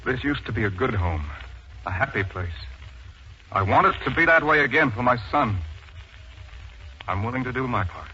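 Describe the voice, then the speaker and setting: An actor in a 1940s radio drama playing an earnest, wounded husband with an intense, theatrical delivery. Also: male voice, mid-range voice, lively intonation, normal speed, long pauses, pushed and bright, slight background noise. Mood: frustrated. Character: earnest, wounded husband, son of mill owner. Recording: muffled 1940s radio recording.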